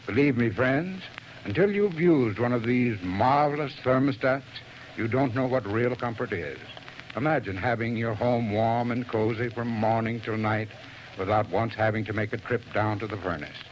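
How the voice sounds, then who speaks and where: A man's voice: mid-range voice, normal speed, slight background noise. A man giving an earnest, folksy 1940s radio advertisement. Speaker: advertising spokesman. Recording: dull old radio broadcast.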